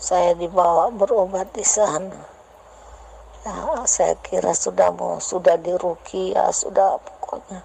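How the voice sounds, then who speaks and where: A middle-aged woman speaking as a caller asking a spiritual teacher for advice, halting and earnest, pleading as she confides. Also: on the low side, fairly even intonation, gravelly, mellow, slight background noise, rough recording. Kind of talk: personal confession and plea.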